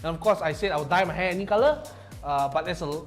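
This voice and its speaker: A man's voice: fairly high pitch, fast talker, soft background music. A man casting an esports tournament stream, joking and mock-complaining with his co-caster. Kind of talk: livestream casting banter.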